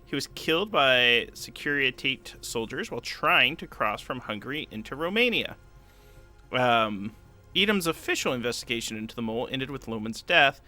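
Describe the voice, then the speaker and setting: Bright-voiced man prepping a game. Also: medium pitch, expressive, moderate pace. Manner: thinks aloud, reads long passages, hums to himself. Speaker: game master prepping a campaign. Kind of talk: casual solo prep stream, reading rules aloud. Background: background music.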